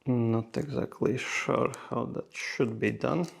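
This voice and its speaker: A man's voice: medium pitch, slow pace, gravelly, breathy edge. A man talking casually through a hands-on tutorial step by step, with non-native English phrasing. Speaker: host of a motorcycle gear how-to video.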